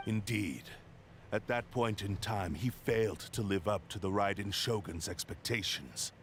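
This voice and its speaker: An actor playing a ruthless, arrogant possessed sword spirit in a game, delivering a cold, grandiose villain monologue. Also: male voice, lowish voice, unhurried, a little hiss or hum, lightly acted. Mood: menacing. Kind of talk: game voice acting.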